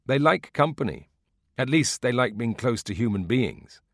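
A man's voice: mid-range voice, sing-song, normal speed, fairly bright tone, no echo, voice pushed up. Genial, playful village man for a literary audiobook read by a male narrator, folksy storyteller, close dry sound. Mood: playful, wry. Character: Barba Petro, genial Greek villager explaining olive lore.

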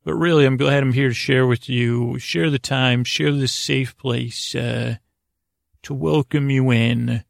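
A man with a gravelly, creaky voice, recorded close and dry. He hosts a bedtime podcast, wandering through tangents, doubling back on his words and breaking off mid-sentence. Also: medium pitch, no room sound. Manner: meandering, halting, self-interrupting, with tangents and repeated words. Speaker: podcast host. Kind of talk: rambling bedtime monologue to help listeners sleep.

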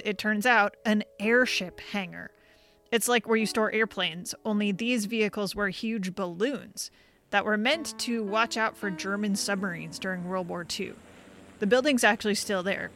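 A woman co-hosting a podcast, telling a story in a clear, scripted delivery. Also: mid-range voice, normal speed, fairly bright tone, faint music behind. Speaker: podcast co-host. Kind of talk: scripted kids' podcast narration.

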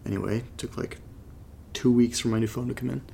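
A man's voice: medium pitch, fairly even intonation, brisk, gravelly, mellow, slight background noise, close to an everyday voice. An actor playing a confused man recording a casual confessional audio diary, hesitant and trailing off, with a cold. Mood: puzzled, uneasy. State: getting over a cold. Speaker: narrator of a horror audio drama. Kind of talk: casual first-person found-audio monologue.

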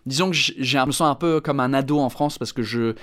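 A man's voice: medium pitch, fast, fairly bright tone. Englishman speaking French with English accent. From England. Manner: hesitant, many fillers and restarts. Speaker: stand-up comedian. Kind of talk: interview answers.

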